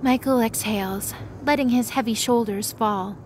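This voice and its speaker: Higher-pitched woman narrating a fantasy story. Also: normal speed, faint music behind. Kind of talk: scripted story narration, present tense.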